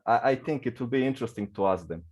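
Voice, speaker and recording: A man asking questions at an academic round table, halting and searching for his words. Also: mid-range voice, animated, a little mellow. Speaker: panel moderator.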